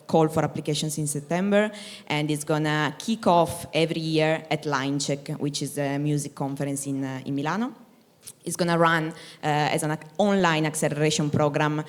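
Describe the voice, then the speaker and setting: A woman speaking on a conference panel, explaining a project at length with frequent fillers. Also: low voice. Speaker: panelist, operations manager. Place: conference stage. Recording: hall reverb.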